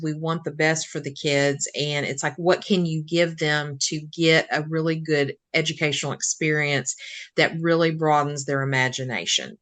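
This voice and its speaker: A woman's voice: sits low, a bit bright. A woman who is an educator co-hosting an interview, reacting with eager, encouraging enthusiasm. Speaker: co-host, educator. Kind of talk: casual interview chat.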